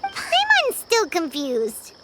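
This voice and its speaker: A woman voicing a tiny, excitable, easily confused fairy girl in a game, with a piercing childlike voice. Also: very high voice, slow pace, heavy background noise, full character voice. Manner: refers to herself by name, exclamatory.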